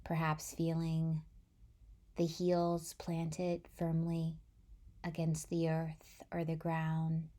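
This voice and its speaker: A woman guiding a body-scan meditation in a gentle, soothing, unhurried way, softly breathy as phrases trail off. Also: low voice, completely flat delivery. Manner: gentle, unhurried, soothing delivery with long pauses. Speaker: meditation guide.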